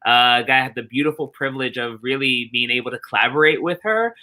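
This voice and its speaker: Man, very bright sharp tone, mid-range pitch, earnest, reflective interview answers, rhythmic repeated phrases, deliberate delivery. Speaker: interview guest, theatre director.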